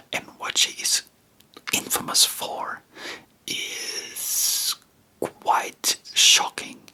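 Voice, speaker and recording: A person whispering in an informal, rambling ASMR style, breathy and unvoiced, chatting about history close to the microphone. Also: bright, forceful tone. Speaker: host of a history ASMR channel.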